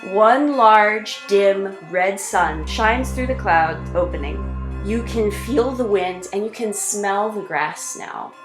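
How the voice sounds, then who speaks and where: A woman narrating a tabletop roleplaying game as game master, describing each scene slowly and deliberately. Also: mid-range voice, over music. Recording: echoey room.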